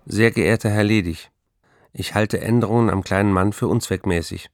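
A driven male novelist in a radio play, low-voiced and recorded very dry. He speaks with precise, emphatic defiance, as if every sentence were law , played by an actor. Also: steady tone, normal speed, no echo, natural voice. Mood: indignant.

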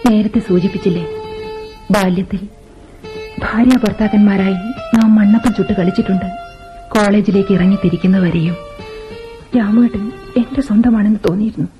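Radio drama housemaid, sorrowful and embittered, played by a woman, mid-pitched, emotive literary delivery over prominent music. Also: fairly even intonation, fairly quick, pauses often, close to an everyday voice. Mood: anguished. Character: sorrowful, bitter housemaid confiding her ruined life. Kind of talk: Malayalam radio drama acting.

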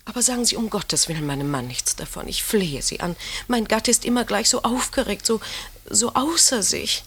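A woman's voice: medium pitch, lively intonation, moderate pace, fairly bright tone. A woman acting a genteel, anxious official's wife in a 1950s German radio play, polite and dramatically pleading. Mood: frightened pleading. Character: genteel, anxious police chief's wife hiding a secret. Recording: old radio recording, faint noise and clicks.

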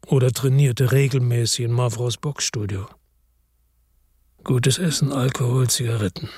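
A middle-aged, world-weary ex-cop narrating a radio play in a laconic, reflective way. His voice is dark and a little husky, recorded dry and close. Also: medium pitch, dry recording. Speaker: first-person narrator and lead.

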